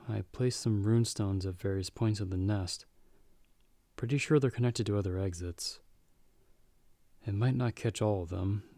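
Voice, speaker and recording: A calm half-vampire hunter, acted by a man for an audio roleplay. His voice sits low and moves slowly, with understated, world-weary delivery. He talks like a protective mentor, in a dry, echo-free recording. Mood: calm, businesslike. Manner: measured, understated, speaking directly to a companion. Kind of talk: ASMR audio roleplay acting.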